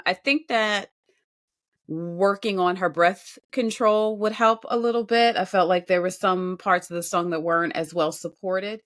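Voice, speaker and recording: A woman co-hosting a music podcast, offering casual, measured and constructive commentary on singing. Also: lowish voice, a bit bright. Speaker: podcast co-host, vocal critic.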